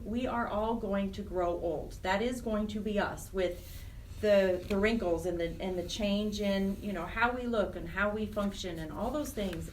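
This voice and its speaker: A middle-aged woman speaking conversationally as an interview guest, earnest and often searching for her words. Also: medium pitch. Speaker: interview guest, program director of a nonprofit. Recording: roomy, distant mic, faint noise.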